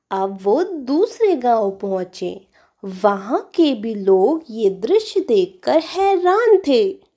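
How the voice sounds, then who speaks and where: Slow, mellow woman storyteller. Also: a little high. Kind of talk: scripted children's fable narration.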